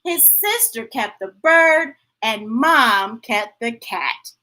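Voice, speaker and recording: Female children's read-aloud host, high-pitched and very animated, unhurried, talking straight to young listeners. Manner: playful, breaking into sing-song and sound effects. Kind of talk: animated picture-book read-aloud with chatty asides.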